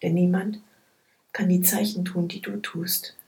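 A woman reading Bible passages aloud in a soft, hushed, measured way. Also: lowish voice, little pitch movement, vocal fry. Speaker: scripture reader. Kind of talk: slow, quiet Bible reading.

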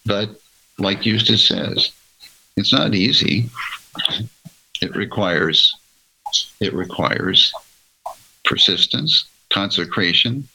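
A man explaining his views earnestly and haltingly in a religious group discussion. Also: on the low side, vocal fry, bright, forceful tone, breathy edge, poor audio.

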